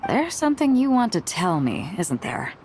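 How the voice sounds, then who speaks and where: A woman's voice: lowish voice, sing-song, moderate pace, noisy background. A woman playing a composed, assured mercenary captain in a video game, with an easygoing confidence. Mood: probing. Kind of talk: video game character acting. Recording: music behind the voice.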